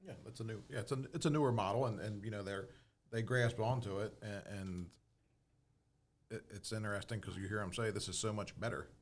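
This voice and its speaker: A man's voice: lowish voice, fairly even intonation, brisk. A man explaining procedures in a casual panel discussion, thinking aloud and repeating words as he goes. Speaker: podcast panelist and fire service instructor.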